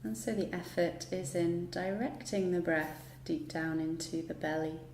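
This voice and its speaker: A woman guiding a relaxation meditation in a soothing, unhurried manner, leaving long pauses between instructions. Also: fairly low pitch, fairly even intonation, darkish tone. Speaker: yoga nidra guide. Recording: roomy echo, light background noise.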